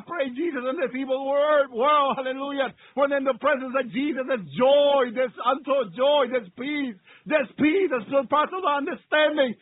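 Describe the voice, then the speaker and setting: A man's voice: very high-pitched, no room sound, narrow, tinny audio. A male preacher giving a fervent, off-the-cuff sermon that slips into prayer, repeating phrases and punctuating them with exclamations of praise.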